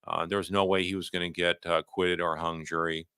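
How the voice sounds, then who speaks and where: A man hosting a livestream, giving unscripted legal commentary and answering viewer questions in a halting, conversational way, often rephrasing himself. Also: low voice, level tone, sharp tone, clean tone, no room sound, studio quality.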